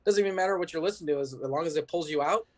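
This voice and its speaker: Higher-voiced man in casual chat. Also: very fast. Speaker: musician, podcast guest.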